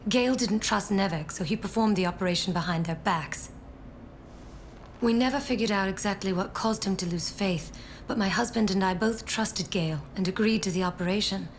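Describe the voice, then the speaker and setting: A woman voices a grave, long-lived widow in a video game drama. Her mid-range voice is measured and solemn as she explains things. Faint noise sits behind her. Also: mid-range voice, natural voice. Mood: solemn, reflective. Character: grave widowed female survivor who has outlived her years. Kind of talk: English-dubbed video game cutscene acting.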